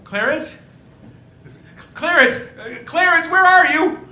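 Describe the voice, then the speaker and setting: A distraught family man acted by a man in an old-style radio play, pleading and stammering. The voice is high and sharp, leaping widely in pitch, in a roomy hall. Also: expressive, takes it slowly, soft background music, exaggerated voice. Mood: anguished. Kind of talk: live stage re-creation of 1940s radio play. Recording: roomy stage sound, low rumbling noise.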